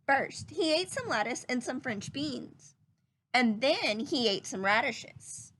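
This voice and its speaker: A woman reading a children's story aloud in an instructive, teacherly manner, pausing to put questions to young listeners. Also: sits high, animated, fairly bright tone, a little hiss or hum, poor audio. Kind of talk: children's read-aloud narration.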